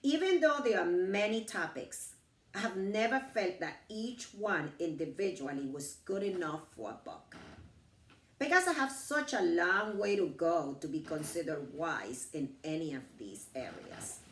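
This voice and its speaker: A middle-aged woman reads her personal, inspirational book aloud. Her delivery is unhurried, with pitch that rises and falls widely from phrase to phrase. The recording has a noticeable room echo. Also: a little hiss or hum. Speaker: author reading her book. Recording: roomy echo, distant from the mic.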